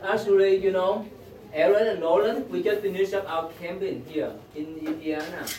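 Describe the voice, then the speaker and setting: A man's voice: sits high, moderate pace, darkish tone, slight background noise. A middle-aged man teaching a youth class, speaking in simple broken English and prodding the students to speak up. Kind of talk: classroom roll call. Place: small indoor classroom. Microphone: far camera at the back of the room. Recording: echoey room from far off. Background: teenagers chattering.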